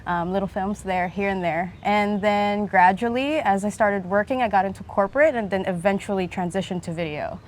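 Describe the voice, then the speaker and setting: A woman in her thirties from the Philippines, answering a host's questions softly at an open-air food stall, on a lapel mic. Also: medium pitch, a little fast, few pauses. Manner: soft-spoken, trails off quietly at the ends of sentences. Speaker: guest, video maker. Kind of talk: casual interview answers.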